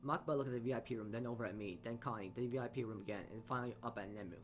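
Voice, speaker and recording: Male let's player, mid-pitched, narrating visual novel text live, tripping over words and rereading, music clearly behind him. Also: very fast. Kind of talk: live read-aloud narration with side comments.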